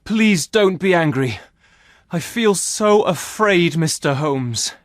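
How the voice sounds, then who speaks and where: A man's voice: high-pitched, lively intonation, slow pace, dry recording, clean studio sound, voice pushed up. A man doing a frightened, pleading young man. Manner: trembling, pleading.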